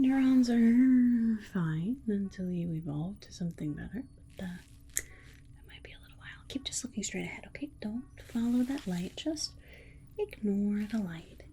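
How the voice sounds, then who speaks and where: A woman playing a patronizing yet soothing alien scientist in an ASMR roleplay, softly reassuring her human subject. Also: medium pitch, natural voice. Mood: politely condescending. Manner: patronizing, soothing, hesitant murmured repetitions. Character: condescending, sweetly reassuring female alien scientist examining a human. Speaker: ASMR performer.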